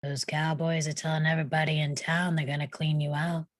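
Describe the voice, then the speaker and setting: Woman reading a low, even-voiced western townsperson. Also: a little fast, dry recording, clean studio sound. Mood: urgent warning. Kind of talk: live screenplay table read.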